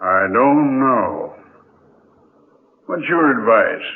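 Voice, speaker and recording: An actor playing a troubled, suspicious sea captain in a halting, weighty old-time radio drama style. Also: male voice, mid-range voice, big pitch swings, exaggerated voice. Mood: doubtful, pondering. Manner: slow, halting, weighty delivery. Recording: muffled old radio recording.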